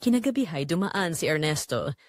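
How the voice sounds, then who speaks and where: A woman's voice: low-pitched, expressive, brisk, dry recording, clean studio sound. A woman narrating a dramatic first-person story in an emotive way.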